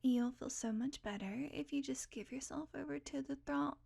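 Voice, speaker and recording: A woman's voice: high voice, vocal fry, a little mellow. A woman playing a sardonic, blunt vampire who is guardedly caring and coaxing, in an intimate audio roleplay. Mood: soothing, hypnotic. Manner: sarcastic, blunt, coaxing, sighing, deliberate pauses.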